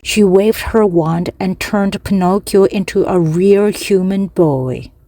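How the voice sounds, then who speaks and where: A woman narrating a children's story slowly and clearly for language learners, with pauses between phrases. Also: medium pitch, warm tone, no echo. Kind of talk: slow, clear read-aloud for language learners.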